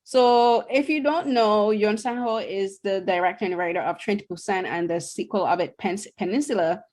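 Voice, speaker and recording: A woman's voice: mid-range voice, normal speed, a little mellow. A young woman from Barbados co-hosting a podcast, chatting casually about shows she watched, with lots of fillers and restarts. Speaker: podcast co-host.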